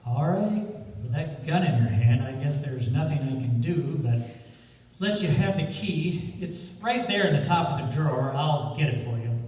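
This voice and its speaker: Wisecracking amateur sleuth, played by a man, slightly high voice, breezy and sardonic, radio play in a very echoey hall. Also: expressive, normal speed, a little mellow, close to an everyday voice. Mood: cool, sardonic. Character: cocky wisecracking male amateur detective. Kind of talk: old-time radio detective drama acting. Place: college stage radio theatre performance. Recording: echoey hall from far off, faint noise.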